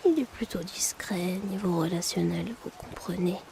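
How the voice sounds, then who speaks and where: A woman's voice: lowish voice, normal speed, vocal fry, warm tone, a little hiss or hum. A woman playing a polite, chatty, discreet potion delivery assistant in a whispered ASMR roleplay, hesitant and confiding. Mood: discreet, concerned.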